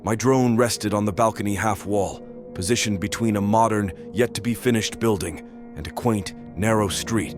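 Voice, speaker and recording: Audio drama role: a courteous, controlled male stalker, played by a man, low register, formal delivery, clear music bed. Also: moderate pace, hardly any pauses, natural voice. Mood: calm, scheming. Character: male stalker posing as polite tech support worker, narrating calmly. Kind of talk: scripted drama narration and dialogue.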